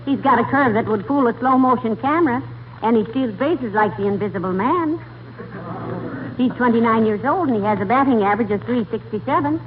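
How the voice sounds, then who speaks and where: An actress playing a feisty, teasing, bossy wife in a 1940s radio comedy. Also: female voice, a little high, fairly quick, narrow, tinny audio, close to an everyday voice. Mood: proud, enthusiastic. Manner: teasing, colloquial banter. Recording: noisy vintage radio recording, thin band.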